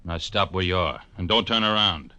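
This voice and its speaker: An actor playing a menacing fat gunman with a thick, soft voice and curt, bullying manner in an old radio crime drama. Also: low voice, normal speed. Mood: threatening. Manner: curt, bullying tough talk.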